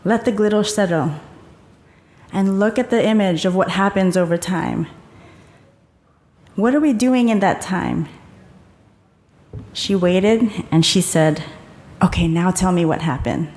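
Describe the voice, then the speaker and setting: Calm woman presenting in a hall. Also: fairly low pitch, measured pace, long pauses. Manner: calm, guiding, leads audience through exercises. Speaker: conference presenter. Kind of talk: conference talk. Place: conference hall. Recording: echoey conference hall.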